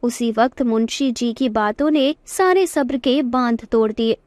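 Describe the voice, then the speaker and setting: High-pitched woman narrating a story. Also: unhurried, darkish tone, no echo. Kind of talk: scripted Hindi story narration.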